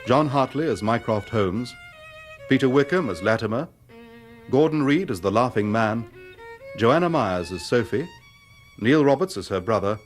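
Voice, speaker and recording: A man's voice: medium pitch, animated, moderate pace, long pauses. Male radio announcer over violin music. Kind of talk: scripted cast credits announcement. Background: loud violin music.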